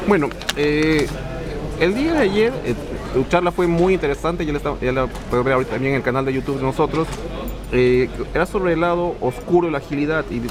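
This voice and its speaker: A man's voice: a little high, a little fast. A man hosting an interview, asking long questions in a hesitant way with many fillers. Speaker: interviewer, host. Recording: crowd chatter behind.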